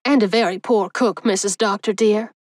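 Solemn prattling housekeeper, voiced by a woman, medium pitch, audiobook character. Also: fairly even intonation, moderate pace, no echo, clean studio sound, natural voice. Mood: solemn prattle. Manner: solemn, prattling, keeps saying dear. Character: solemn chatty middle-aged housekeeper.

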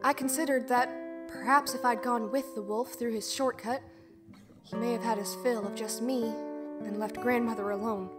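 Actress as young fairy-tale heroine, high voice, bitter and traumatised, intense deliberate audio drama monologue, music underneath. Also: female voice, natural voice. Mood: haunted, regretful. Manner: intense, deliberate, emotional storytelling.

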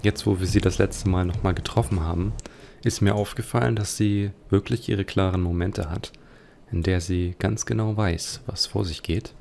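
Male let's player, low and gravelly, thinking aloud in relaxed, reflective game commentary. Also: normal speed, a little hiss or hum. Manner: musing, reflective. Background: game music.

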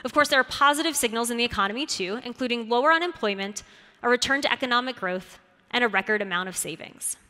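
Woman in her 30s doing a keynote, bright higher voice, big hall reverb. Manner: clear, polished delivery with rising emphasis on key points. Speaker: conference keynote speaker. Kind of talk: scripted keynote presentation. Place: large conference stage.

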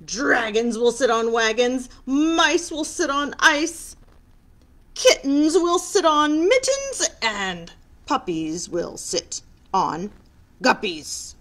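A woman's voice: sits high, animated, slow pace, a bit bright, pitched above the performer's own voice, exaggerated voice. A woman voicing a smug, matter-of-fact frog in a rhyming picture book read aloud.

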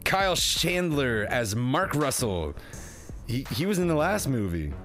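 A man's voice: mid-range voice, very animated, unhurried, fairly bright tone. A man hosting a casual, comedic movie review in a jokey way, with some theatrical announcing. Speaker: review show host. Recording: music playing behind the voice.

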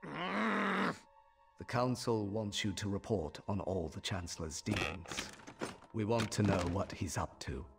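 An actor playing a composed, dutiful Jedi knight and mentor in a video game, formal and authoritative. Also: male voice, on the low side, animated, slow pace, gravelly, pauses often, music in the background, full character voice.

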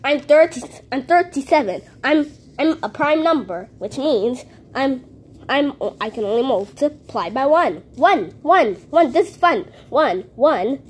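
Boastful male number block who raps in sing-song chants, played by a woman in a high voice at a home table. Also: animated, unhurried. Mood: chanting. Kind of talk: playing with paper cutouts. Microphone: handheld phone camera close to table.

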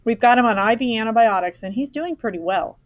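A woman's voice: fairly high pitch, fast talker, dry recording, dull audio, voice pushed up, natural voice. A woman storyteller voicing a worried, exasperated woman doctor, flustered but professional, in a storybook. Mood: concerned.

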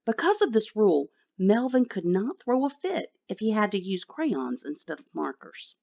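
A woman's voice: medium pitch, very animated, moderate pace, creaky, darkish tone, lo-fi sound. A woman reading a picture book aloud expressively for children. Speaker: children's story reader.

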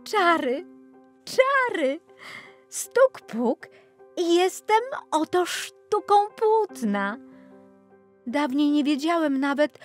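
A woman's voice: sits high, pitched above the performer's own voice, full character voice. A woman voicing a naive, cheerful young flax plant in a children's storybook, wide-eyed and emphatic.

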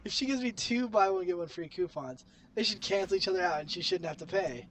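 A teenage man with a cold and a highish voice co-hosts a gaming video. He tells stories in a casual, blunt way and curses freely. There is clear noise on the recording. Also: fast talker, a bit bright. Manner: blunt, heavy swearing. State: sick with a cold. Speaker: gaming channel co-host. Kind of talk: casual profane chat and storytelling over gameplay. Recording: clearly noisy home game recording.

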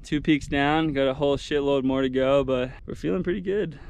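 A man's voice: medium pitch, fairly even intonation, fairly quick, creaky, a little mellow, smooth. A young man in his twenties talking casually into an action camera held at arm's length on an open mountain summit, chatty and laughing easily. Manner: laid-back, chatty, laughs often. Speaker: vlogger. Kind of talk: casual hiking vlog. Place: outdoors on a windy rocky mountain summit.

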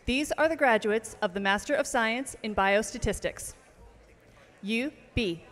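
A woman reading out graduate names at an outdoor commencement, slow and measured, over a PA system. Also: a little high. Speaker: name reader at commencement. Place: outdoor ceremony stage. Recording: long reverb of outdoor PA.

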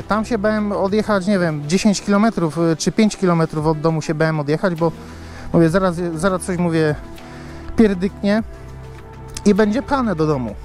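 A man's voice: fairly high pitch, darkish tone. A man vlogging about his motorbike in a rambling, casual way, swearing often and repeating himself. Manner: rambling, swears a lot, many fillers and repeats. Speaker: motovlogger. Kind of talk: casual chat to camera.

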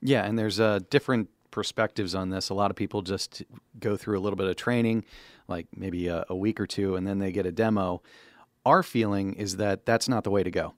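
Lowish-voiced man hosting a podcast. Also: steady tone, brisk, no room sound, clean studio sound. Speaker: podcast co-host. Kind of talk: conversational podcast talk. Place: small indoor podcast studio. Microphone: close boom-arm studio microphone.

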